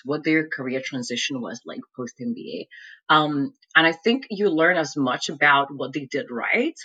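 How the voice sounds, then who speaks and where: A woman's voice: low voice, bright, forceful tone, husky, low-quality recording. A woman from Lithuania with a Lithuanian accent, answering interview questions thoughtfully with many fillers like "um" and "like". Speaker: guest, MBA graduate and consultant.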